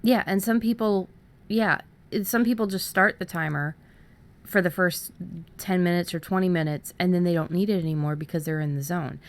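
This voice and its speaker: A woman's voice: fairly low pitch, moderate pace. A woman co-hosting a podcast, giving casual, encouraging advice and repeating words for emphasis. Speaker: podcast co-host.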